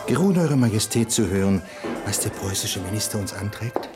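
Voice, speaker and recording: An actor playing a formal, deferential court minister in a 1970s radio play, with loud music behind. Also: male voice, lowish voice, lively intonation, moderate pace, close to an everyday voice. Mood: courtly. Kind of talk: 1970s radio drama acting.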